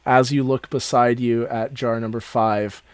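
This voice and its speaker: A man's voice: mid-range voice, flat delivery, measured pace, clean tone, no room sound. A man acting as game master, narrating a tabletop game in a casual, descriptive way.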